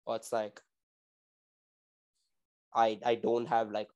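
A man's voice: fairly low pitch, monotone, takes it slowly, a little mellow, smooth. Casual male podcast co-host in his twenties, rambling and slangy. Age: 20s. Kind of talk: casual chat.

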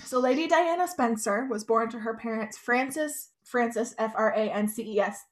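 A woman's voice: a little high, normal speed. A young adult woman co-hosting a podcast, talking casually with plenty of "like" and "you know". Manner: chatty, lots of "like" and "you know". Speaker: podcast co-host. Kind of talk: casual chat.

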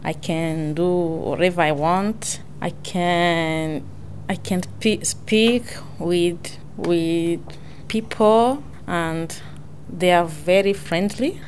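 A woman speaks slowly and haltingly, often stopping to search for her words. Her voice sits fairly low, with a faint hiss of background noise. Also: a little mellow. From Congo. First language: French. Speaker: adult English learner. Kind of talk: personal introduction.

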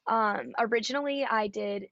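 A raspy-voiced woman talks in an easy, upbeat way, dotted with ums and likes. The sound is dull and dry, with no room around it. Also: a little high, normal speed, fairly bright tone, dry recording. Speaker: guest singer-songwriter. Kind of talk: casual interview chat. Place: Nashville area.

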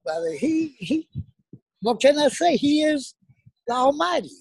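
A man's voice: very high voice, very animated, a little slow, no room sound. Earnest middle-aged man, dry sound. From South Dallas, Texas. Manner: earnest, emphatic, plain-spoken. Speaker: guest. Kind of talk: casual interview talk, preaching.

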